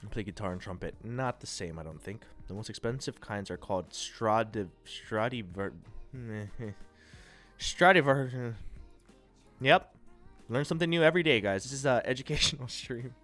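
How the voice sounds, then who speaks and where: A stuffy-nosed man with a middling pitch talks loosely to his stream, wandering between thoughts with easy, self-mocking asides. Faint music plays quietly beneath his voice. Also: normal speed. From United States. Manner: rambling, relaxed, self-deprecating, frequent fillers and laughs. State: congested and nasally. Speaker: livestream host. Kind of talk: casual chat reading and answering viewer chat.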